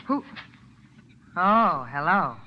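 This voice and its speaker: A sly, self-possessed young drifter in a vintage radio play. She speaks with cool, unhurried deadpan. The recording is dull with a light layer of noise. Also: on the low side. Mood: guarded. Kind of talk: 1940s radio acting. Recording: muffled, hissy old radio recording.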